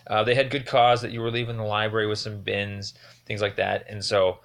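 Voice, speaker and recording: A man on a phone call, giving a rambling, earnest and halting account of his complaint as a caller. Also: on the low side, flat delivery, vocal fry, a bit bright. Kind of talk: phone conversation, explanatory account.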